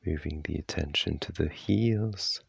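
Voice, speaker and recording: Male meditation guide: extremely low, gravelly voice, measured delivery, long pauses between soft instructions. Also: no room sound, lo-fi sound. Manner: gentle, unhurried, measured phrasing.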